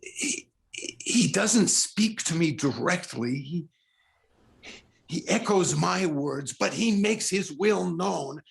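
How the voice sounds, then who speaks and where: A male actor playing a tormented, unraveling concert pianist in a 1940s-style radio drama, stammering and halting in an overwrought way. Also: high-pitched, expressive, vocal fry, exaggerated voice. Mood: haunted, confessional.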